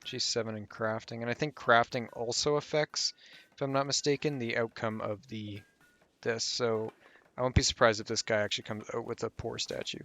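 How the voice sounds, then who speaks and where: A man's voice: mid-range voice, moderate pace. Man with a tired, fading voice. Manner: relaxed, rambling, trails off with fillers. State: losing his voice. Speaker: gameplay guide host. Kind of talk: casual game commentary.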